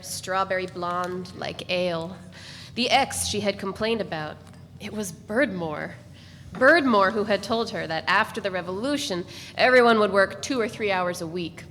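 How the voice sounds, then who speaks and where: A woman reading the narration of a novel aloud in a measured, reflective way at a live dramatic reading. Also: mid-range voice, fairly bright tone. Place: large echoey event space. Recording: long hall reverb, faint room noise.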